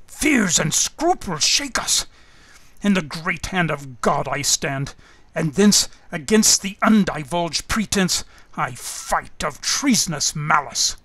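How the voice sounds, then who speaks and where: A man's voice: on the high side, pushed and bright, no room sound, heavily acted. A man playing a solemn, suspicious Scottish nobleman in a Shakespearean radio drama. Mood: resolute.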